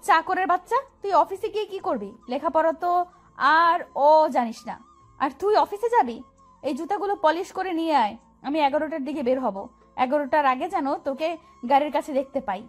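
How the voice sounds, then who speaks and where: A woman's voice: sits high, normal speed, natural voice. A woman playing a haughty young rich madam in an audio story, imperious and scornful as she bosses a servant. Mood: scornful, threatening. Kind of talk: Bengali audio story acting. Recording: music bed under voice.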